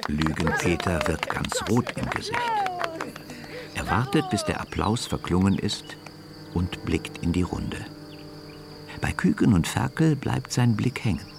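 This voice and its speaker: A man's voice: sits low, huge pitch swings, a little slow, creaky, heavy background noise. A man reading scripted storybook narration for a children's radio play. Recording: music under the voice. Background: music.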